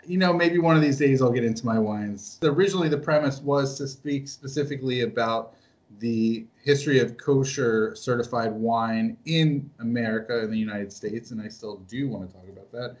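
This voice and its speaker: A man hosting a podcast, asking relaxed, easygoing questions in a casual interview. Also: mid-range voice, normal speed. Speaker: podcast host.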